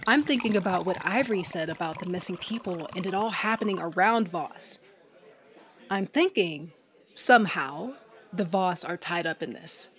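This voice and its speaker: A woman's voice: lowish voice, normal speed, natural voice. A woman playing a shrewd, guarded smuggler captain in an audio drama, speaking in a blunt, commanding way. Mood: brooding, determined. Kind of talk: audio drama acting and first-person narration.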